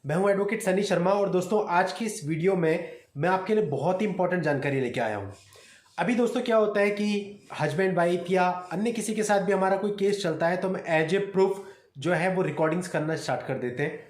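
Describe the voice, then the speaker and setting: High-voiced man explaining, echoey room. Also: a little fast. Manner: didactic, addresses listeners often as friends. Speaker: advocate presenting a legal advice channel. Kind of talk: explanatory legal talk to camera. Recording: echoey, roomy sound.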